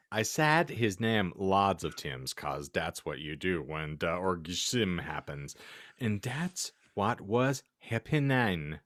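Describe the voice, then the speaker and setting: Male podcast co-host, low and slow but highly animated, reading bad prose for laughs and cracking up. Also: no room sound, clean studio sound. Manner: stumbles over misspelled text, breaks into laughter. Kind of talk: comic dramatic reading of bad fan fiction.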